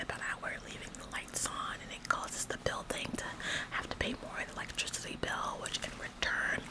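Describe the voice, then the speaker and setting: A woman's voice: lightly acted, low-quality recording. A woman playing an officious, nitpicking office employee who acts like the boss in a whispered ASMR roleplay, nagging repetitively with condescending, faux-polite phrasing. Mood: passive-aggressive nagging.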